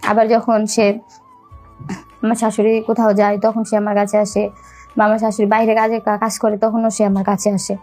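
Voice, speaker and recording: A young woman with a Bangladeshi accent telling a personal story in a halting, repetitive, colloquial way. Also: on the high side, completely flat delivery, a little mellow. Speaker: storyteller recounting own life. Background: music.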